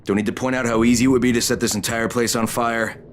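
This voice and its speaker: A man's voice: mid-range voice, flat delivery, fast talker, slight background noise, natural voice. A man playing a weary, blunt undercover operative in a video game, with a wry, tough way of talking. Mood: threatening. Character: gruff, weary male undercover operative, reluctant enforcer. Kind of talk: video game cutscene acting.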